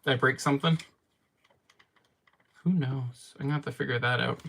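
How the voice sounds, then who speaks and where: A man's voice: medium pitch, fairly even intonation, normal speed, vocal fry, very noisy. A man in casual livestream chat from his game room, answering viewer comments in a rambling way and laughing at himself often. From Canada. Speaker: streamer.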